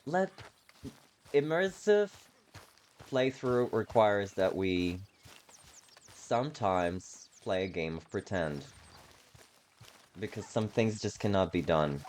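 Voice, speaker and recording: A man with a fairly low voice chats through a video game in a rambling, playful way. His pitch swings around a lot as he drifts into silly asides. There is some noise and clicking behind him. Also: lively intonation, slow pace, long pauses, darkish tone. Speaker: streamer playing a video game. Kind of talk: casual gameplay commentary.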